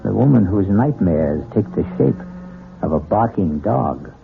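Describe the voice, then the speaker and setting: A man's voice: fairly low pitch, lively intonation, a little slow, vocal fry. An American man hosting a radio mystery with theatrical scripted narration. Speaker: host and narrator. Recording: tinny old radio recording with music.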